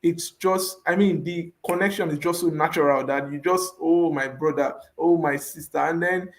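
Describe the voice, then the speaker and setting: A man speaking as a youth leader on an online panel over a video call, earnest and enthusiastic with frequent pauses. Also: fairly high pitch.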